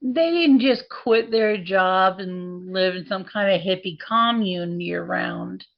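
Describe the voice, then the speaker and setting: A woman host with a gravelly, slightly hoarse voice keeps things light and slangy. She prods her co-host with short, curious questions. The recording is a bit dull and lo-fi. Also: medium pitch, slow pace. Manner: playful, slangy, asks short leading questions. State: a little hoarse. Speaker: podcast host. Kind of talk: casual chat.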